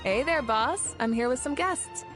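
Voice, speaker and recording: A woman voicing a confident, playful female leader in a video game, who speaks in a relaxed, teasing way. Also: sits high, unhurried. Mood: easygoing. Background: game music.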